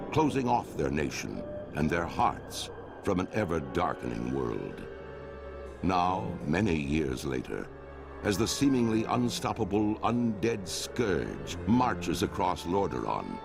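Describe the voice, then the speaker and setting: A commanding narrator of an epic fantasy game saga, his low voice swelling and falling dramatically. The delivery is grave and portentous, with a gravelly edge, over a clear musical score. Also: exaggerated voice. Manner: grave, portentous, theatrical storytelling. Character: solemn, commanding male storyteller of an epic fantasy war saga. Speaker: cutscene narrator.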